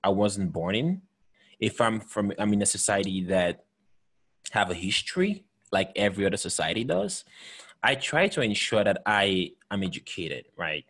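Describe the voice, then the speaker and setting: A man in his thirties speaks earnestly over a video call. His voice is husky and sits a little low, and he often stops and restarts his sentences as he pushes his points. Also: very animated, moderate pace, fairly bright tone. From Cameroon. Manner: earnest, emphatic, many restarts and repeated words. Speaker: host. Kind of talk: conversational video call chat. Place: home room with bookshelves. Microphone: headset with desk microphone.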